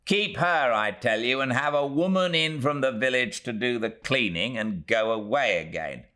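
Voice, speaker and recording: A man's voice: medium pitch, expressive, normal speed, pushed and bright, voice pushed up. A man voicing a curt, tight-fisted and impatient Victorian baronet with a British accent, in an audiobook. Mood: impatient, scornful. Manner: brusque, commanding. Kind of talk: audiobook character voice.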